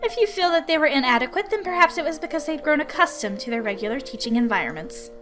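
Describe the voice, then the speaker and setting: A woman's voice: high voice, expressive, over music. A woman voicing a patient, formal female researcher working as a substitute tutor in a visual novel. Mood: patient, firm. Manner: polite, measured, earnest. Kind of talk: visual novel character reading.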